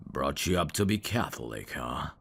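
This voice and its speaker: A man playing a suave, teasing demon in an intimate ASMR roleplay, courteous and flirtatious, with a gravelly voice. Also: a bass voice, very animated, normal speed, fairly bright tone, a little hiss or hum, full character voice. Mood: intrigued, teasing. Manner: smooth-talking, flirtatious, formal phrasing with hesitations. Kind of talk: intimate ASMR roleplay acting addressed to the listener.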